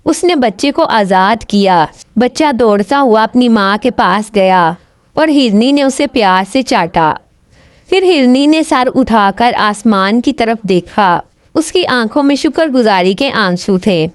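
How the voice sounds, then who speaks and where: A woman's voice: a little high, animated, unhurried, no echo, clean studio sound. A woman storyteller reading a scripted children's tale as narrator.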